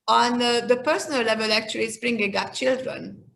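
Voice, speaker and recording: High-pitched Hungarian woman, slightly creaky. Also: fairly even intonation, a little fast, fairly bright tone, slight background noise. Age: 50s. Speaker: interview guest, leadership expert. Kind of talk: conversational interview answers.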